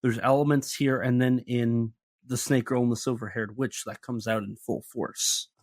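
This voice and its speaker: A man's voice: medium pitch, steady tone, moderate pace. A man co-hosting a podcast, talking through a monster film in a chatty, analytical way with light sarcasm. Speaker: podcast co-host. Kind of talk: casual film discussion.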